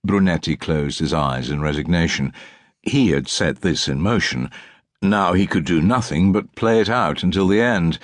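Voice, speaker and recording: A man's voice: deep voice, normal speed, no echo, studio quality. A man reading a crime novel as an audiobook narrator, in scripted literary prose with precise diction.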